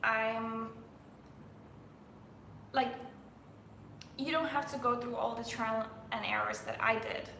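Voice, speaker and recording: A woman's voice: a little high, steady tone, slow, gravelly, pauses often, bright, forceful tone, roomy sound, a little hiss or hum, low-quality recording. A young woman from Denmark, a fitness coach chatting on a live stream, full of fillers and restarts.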